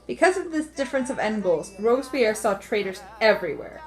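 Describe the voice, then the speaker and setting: A woman's voice: a little high, big pitch swings, brisk, soft background music, rough recording. A woman reading scripted history narration as the narrator of a puppet show.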